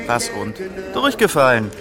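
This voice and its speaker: A man's voice: a little high, wildly swooping pitch, a little slow, over music. An actor in a radio play as a bitter rejected male job candidate with a sardonic, mocking manner.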